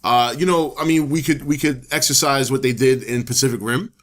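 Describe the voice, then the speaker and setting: A man hosting his own channel, talking casually to camera, a little hoarse from the flu, rambling with many fillers and restarts. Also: mid-range voice, fairly quick, fairly bright tone. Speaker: channel host. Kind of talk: casual opinion talk to camera.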